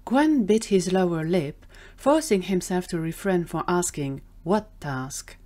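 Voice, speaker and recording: Lower-voiced woman narrating fiction. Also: big pitch swings, measured pace, mellow. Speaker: narrator and performer. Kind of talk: scripted audio fiction narration.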